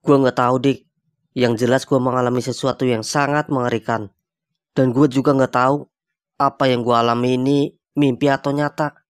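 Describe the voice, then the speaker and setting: A man's voice: mid-range voice, level tone, moderate pace, clean tone, no echo, studio quality, lightly acted. Man playing a spooked young Jakarta hotel guest. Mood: uneasy, earnest. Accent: Jakarta Indonesian. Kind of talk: animated horror-comedy voice acting.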